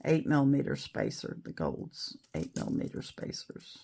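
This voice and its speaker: A middle-aged woman with a raspy voice after an illness, chatting casually and rambling a little while she shows how to make a beaded bracelet. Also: extremely low pitch, huge pitch swings, moderate pace, warm tone, slight background noise. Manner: chatty, rambling, self-deprecating. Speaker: beading video host. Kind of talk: casual tutorial chat.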